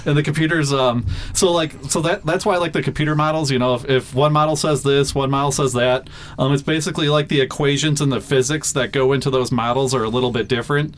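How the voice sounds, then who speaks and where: A man working as a weather forecaster, chatting casually in a radio interview, full of fillers and quick laughs. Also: mid-range voice, steady tone, slight background noise.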